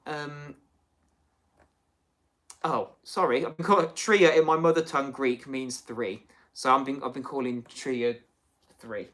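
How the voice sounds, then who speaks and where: A man's voice: medium pitch, steady tone, slow, long pauses, a bit bright. Male streamer, chatty and rambling, reads viewer comments, casual host. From United Kingdom. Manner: chatty, rambling, many fillers and restarts. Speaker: livestream host.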